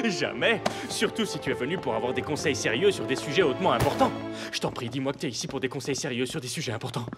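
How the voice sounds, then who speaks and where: An actor voicing an eager, theatrical young prince in a cartoon dub, grandiose and excitable. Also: male voice, medium pitch, fast talker, loud music behind the voice, natural voice. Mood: excited.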